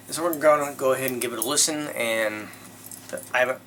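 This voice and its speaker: A man hosting with casual commentary, talking in an informal, halting way. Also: mid-range voice, sharp tone, slight background noise. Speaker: host.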